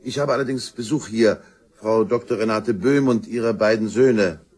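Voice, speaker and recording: An actor playing a matter-of-fact, probing police detective in a German crime radio play, with a husky voice. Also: male voice, lowish voice, moderate pace, darkish tone. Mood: businesslike.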